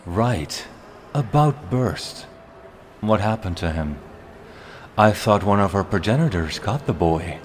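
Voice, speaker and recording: A man's voice: fairly low pitch, expressive, takes it slowly, pauses often, slight background noise. A man playing a refined, haughty vampire henchman in a fantasy audio drama, with a formal, wry, drawling delivery. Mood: informative, wry.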